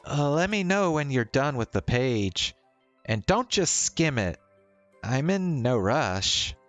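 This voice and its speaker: A man's voice: medium pitch, very animated, slow, soft background music, voice pushed up. A man reads as a bookish young woman in a visual novel, playful and eager. The voice is lifted above his usual talk and takes its time. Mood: casual, teasing. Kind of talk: visual novel character read-aloud.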